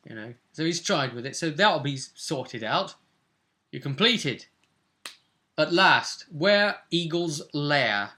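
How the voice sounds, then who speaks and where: A man's voice: fairly high pitch, animated, slow, sharp tone. A man chatting casually as game master and host, recapping a tabletop roleplay session.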